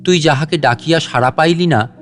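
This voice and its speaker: A man's voice: a little high, fairly quick, a bit bright, soft background music, natural voice. A man voicing an ancient road as a tender, sorrowful spirit in a storybook, solemn and pleading. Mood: tender pity. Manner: pleading rhetorical questioning, solemn.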